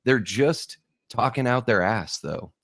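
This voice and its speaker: A man's voice: medium pitch, expressive, slow, gravelly, dry recording, studio quality. A man co-hosting a podcast, chatting casually and bluntly, with strong opinions and some swearing. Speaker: podcast co-host.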